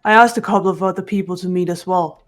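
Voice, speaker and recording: A woman voicing a quiet, soft-spoken young woman in a flashback scene. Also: fairly low pitch, steady tone, fast, natural voice. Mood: polite.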